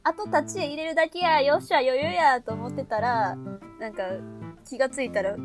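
A young woman with a Kansai accent talks in a high voice. She is chatty and slightly flustered, repeating herself in casual group talk over guitar music. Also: brisk. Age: young adult. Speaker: student video editor. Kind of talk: casual behind-the-scenes group chat.

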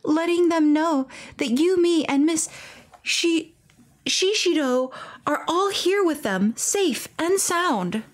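Woman putting on an eager high-pitched schoolgirl. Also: animated, slow pace, exaggerated voice. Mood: bright, eager. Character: earnest, eager high school girl, class representative.

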